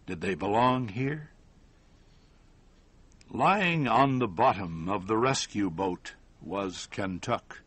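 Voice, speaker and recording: Slow, expressive male storyteller. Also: fairly low pitch, husky, no echo. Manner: measured, deliberate phrasing with short pauses. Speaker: narrator. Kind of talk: scripted audiobook-style story narration.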